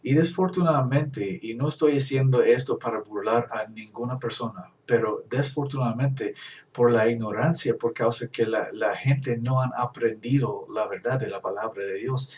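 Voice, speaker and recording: A man whose first language is English preaching a Bible lesson, halting and restarting at times, reading scripture slowly. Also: mid-range voice, recorded from a distance. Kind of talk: Bible teaching.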